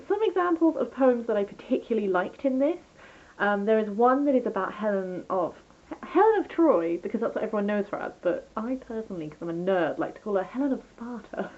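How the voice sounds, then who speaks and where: A woman's voice: medium pitch, narrow, tinny audio. A woman chatting casually through a book review as a vlogger, rambling and enthusiastic, often interrupting herself.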